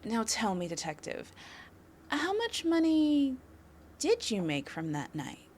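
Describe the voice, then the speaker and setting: A woman's voice: on the high side, huge pitch swings, slow, darkish tone, a little hiss or hum, heavily acted. A woman playing a confident, flirtatious criminal suspect in an audio roleplay, teasing the listener with a coy, playful delivery. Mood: sly, teasing.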